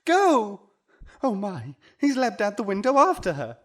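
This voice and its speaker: A man playing an anxious, melodramatic rich gentleman in an audio drama, with theatrical exclamations and old-fashioned phrasing. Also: high voice, wildly swooping pitch, measured pace, heavily acted. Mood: panicked.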